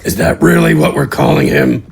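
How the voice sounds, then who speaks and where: A man voicing a bored, curt, burly adventurer in a fantasy audio drama, with a gravelly, husky voice. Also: a little high, moderate pace, heavily acted. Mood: dismissive.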